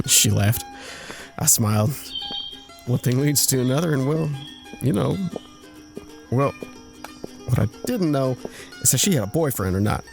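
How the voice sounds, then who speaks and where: A man's voice: medium pitch, lively intonation, unhurried, creaky, pauses often, music in the background, rough recording. An actor playing a bashful, bragging womanizer in an audio drama, telling racy tales in a folksy, stumbling way full of innuendo. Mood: sly, boastful. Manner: folksy, innuendo-laden, stumbling into self-corrections. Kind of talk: audio drama acting, anecdotal storytelling.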